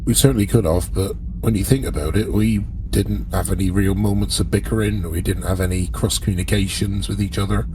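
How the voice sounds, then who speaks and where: A man's voice: low-pitched, steady tone, brisk, few pauses, background noise. A man taking part as a player in a tabletop roleplaying game, chatting casually and speaking for his character.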